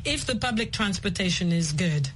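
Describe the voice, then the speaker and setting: A woman from Kenya hosting an English lesson, speaking slowly and clearly and repeating her guest's words. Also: on the low side, lively intonation, a little hiss or hum. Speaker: host and English teacher.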